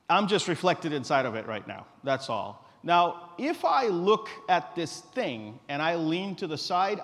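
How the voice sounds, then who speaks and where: A middle-aged man from Guyana giving an informal live stage presentation in a relaxed, direct, joking manner. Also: a little high. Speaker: company founder presenting a tech demo. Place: conference stage in a large reverberant hall. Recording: echoey hall through a stage PA.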